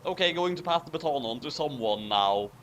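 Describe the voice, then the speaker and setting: A man's voice: a little high, animated, a little fast. A man co-hosting a dramatic fanfic reading, theatrical and over-acted, with casual chat in between.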